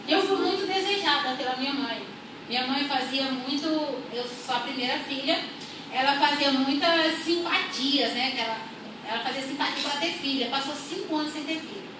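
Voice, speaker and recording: A young woman from rural Brazil telling her life story as a church testimony, unhurried and repeating her phrases. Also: high-pitched. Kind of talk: personal testimony. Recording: echoey room, far from mic, audible noise.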